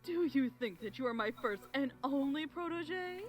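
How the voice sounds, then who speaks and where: Sinister royal mare for fan audio drama, woman performer: higher register, cold, deliberate, manipulative delivery over faint music. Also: expressive. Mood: coolly threatening. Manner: slow, menacing, silky and deliberate.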